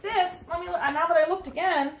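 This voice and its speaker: A woman reading a picture book aloud to young children, expressive, with chatty asides and simple questions to her listeners. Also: extremely high pitch, fairly quick. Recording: roomy echo, some background noise.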